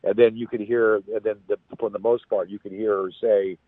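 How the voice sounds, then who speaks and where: An under-the-weather man talks casually over a narrow, dry phone line. His voice is on the low side. He rambles and halts, often repeating himself. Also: little pitch movement, slightly rough, no echo. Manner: halting, repetitive, rambling. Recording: thin, phone-like call audio.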